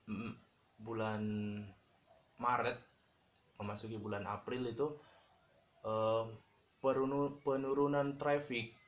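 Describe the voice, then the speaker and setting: A man from Medan sharing tips on his channel in a casual vlog, talking in a relaxed, halting way with many fillers. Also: fairly low pitch. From Medan, Indonesia. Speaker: YouTuber.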